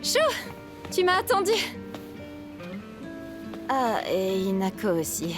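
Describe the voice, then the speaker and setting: A woman's voice: mid-range voice, sing-song, slow pace. A woman playing a cheeky, talkative teenage schoolgirl in a French video game dub, playful and exclamatory. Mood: cheerful. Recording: music behind the voice.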